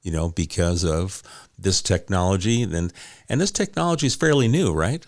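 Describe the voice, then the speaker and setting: A man's voice: deep voice, animated, normal speed, no echo, clean studio sound. A man hosting a conversational interview, often rephrasing as he frames his questions and points. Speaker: interviewer, host; law enforcement officer.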